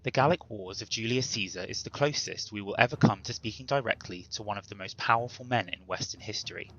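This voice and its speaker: A man's voice: lowish voice, fast talker, a bit bright, lo-fi sound. A man delivering a scripted history introduction in a formal, emphatic, lecturing way. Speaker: presenter introducing a dramatic reading.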